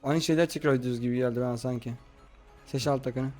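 A man hosting a horror game playthrough in slangy, excitable, casual chat, often addressing his viewers. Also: mid-range voice, quick pace, soft background music. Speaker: gaming video host.

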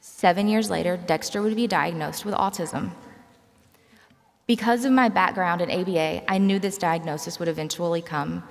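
A woman, giving a measured, prepared commencement speech and telling personal stories from a podium. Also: on the low side, gravelly. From South Carolina, United States. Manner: measured, scripted personal storytelling. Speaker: graduate student commencement speaker. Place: large auditorium stage. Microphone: podium gooseneck microphone. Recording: reverberant large hall, podium microphone.

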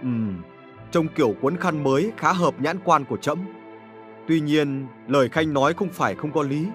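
A man voicing a dignified, exacting but gracious emperor in a historical cartoon, formal and deliberate in his authority. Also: a little high, a bit bright, faint music behind, natural voice. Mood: stately.

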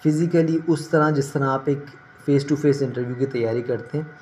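A middle-aged man explains things informally to the camera at a medium pitch. He speaks in measured phrases with pauses, picked up by a phone in a small room with faint ambient noise behind him. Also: darkish tone. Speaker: career advice vlogger. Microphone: phone at arm's length. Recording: noticeable ambient background noise.

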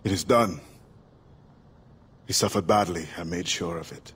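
An actor playing a solemn middle-aged assassin and freedom fighter in a video game, speaking gravely and deliberately in formal phrases. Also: male voice, low-pitched, fairly bright tone, exaggerated voice. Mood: somber. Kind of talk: cinematic video game acting.

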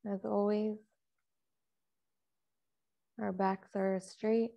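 A woman meditation teacher speaks very slowly and calmly, leaving long pauses between short instructions. Her delivery is even, with little movement in pitch and a noticeable creak in the voice. She guides softly and in a measured way.

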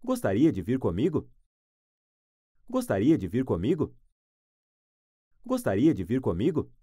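A man who teaches phrases, saying each one three times, slowly and clearly. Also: mid-range voice, wildly swooping pitch, dark tone, dry recording, clean studio sound. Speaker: language teacher. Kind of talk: slow phrase repetition for learners.

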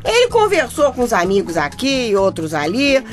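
Bricklayer's wife, radio drama narrator. Brazilian, highish voice, gossipy and wry, over a music bed. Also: female voice, wildly swooping pitch, normal speed. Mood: wry storytelling. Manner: wry, conversational, addressing listeners. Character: chatty, exasperated bricklayer's wife telling the tale. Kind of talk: radio soap opera narration. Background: music under the voice.

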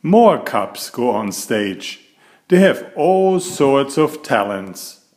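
A man reading a picture book aloud to young children in an expressive, deliberate storytelling manner. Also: medium pitch. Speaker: picture-book reader and author of children's stories.

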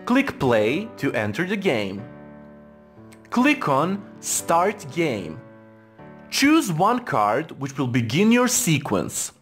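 Man narrating a tutorial with lively intonation. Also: on the high side, slow, faint music behind. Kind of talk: scripted instructional narration. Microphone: voice-over for screen recording.

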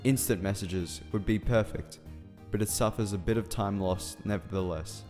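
A man's voice: on the low side, normal speed, darkish tone, close to an everyday voice. A man playing an earnest, awkward scientist in love in a fan radio play, speaking formally and hesitantly. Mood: earnest explaining. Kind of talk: fan radio drama acting. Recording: reverberant hall sound with music underneath.

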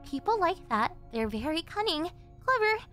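Very high-voiced, possessive yandere girlfriend. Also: big pitch swings, moderate pace, a bit bright, soft background music, full character voice. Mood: condescendingly soothing. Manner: cloying, coaxing, with breathy giggles and gasps. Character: possessive, obsessive young yandere girlfriend, sweetly menacing captor. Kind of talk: ASMR roleplay monologue.

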